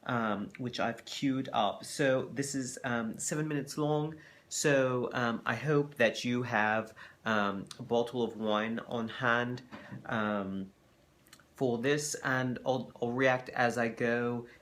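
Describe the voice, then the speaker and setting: A man hosts an unscripted reaction. His voice sits at a middling pitch. He speaks politely and with care, often halting as he searches for wording. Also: steady tone, a bit bright. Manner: hesitant, measured, polite. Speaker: host reacting to a recording.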